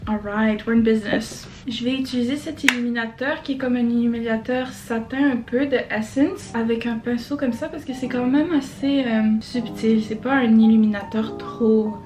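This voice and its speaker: Young woman, Québécois accent, bit high voice, chatty with lots of hesitations, music playing behind. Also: fairly even intonation, normal speed, hardly any pauses, a little mellow, poor audio. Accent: Québécois French. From Quebec, Canada. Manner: chatty, frequent hesitations, mixes in English words. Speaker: beauty vlogger. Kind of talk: casual makeup tutorial chat. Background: background music.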